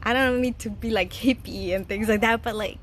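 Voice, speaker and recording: Chatty woman from Chile, higher voice. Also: steady tone, moderate pace, slight background noise. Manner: earnest, chatty, laughs often. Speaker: vlogger. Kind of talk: casual advice vlog. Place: home garden.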